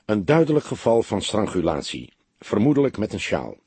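A man's voice: on the low side, sing-song, normal speed, a little mellow, husky, dry recording, exaggerated voice. A man voicing an insecure, sensitive young police detective in an audiobook, speaking in a timid, hesitant, earnest way. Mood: shaken.

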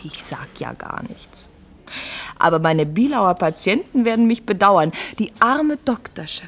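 A woman's voice: medium pitch, big pitch swings, measured pace. A woman acting in a German radio drama as a sardonic, jealous doctor and wife who needles with sarcasm. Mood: catty, mocking.

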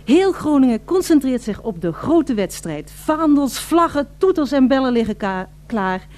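A woman's voice: high-pitched, sing-song, normal speed, darkish tone. An actress playing an eager, polite female radio reporter in a radio drama, with formal, florid and emphatic delivery. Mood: grand, enthusiastic.

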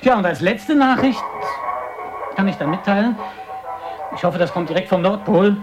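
Higher-voiced earnest polar adventurer, music behind. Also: male voice, animated, moderate pace. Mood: earnest, reflective. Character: excitable male polar adventurer, proud, earnest, devoted to his dog. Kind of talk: radio drama acting as a recorded interview.

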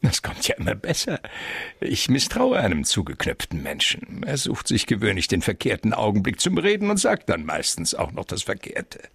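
A gravelly, pompous fat crime boss. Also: male voice, fairly low pitch, wildly swooping pitch, moderate pace, a bit bright, dry recording, studio quality, full character voice. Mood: amused, lecturing. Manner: pompous, wordy, chuckling, patronizing. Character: jovial, shrewd fat crime boss, smooth-talking. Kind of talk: radio drama acting.

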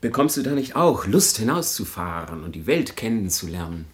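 Probing, expressive gentleman guest. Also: lowish voice. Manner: measured, questioning, pointed. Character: probing, solemn gentleman houseguest, estranged son. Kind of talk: German radio drama acting.

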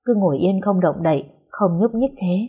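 An older aunt played by a woman narrator in a dramatic audio story. She sounds husky, caring and anxious, with a gentle pleading manner. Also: on the low side, lively intonation, normal speed. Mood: worried, caring.

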